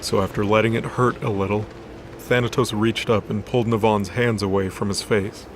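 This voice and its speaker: A man's voice: on the low side, steady tone, moderate pace, background noise. A man narrating a scripted dramatic story as the storyteller of an audio drama. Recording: voice over background music.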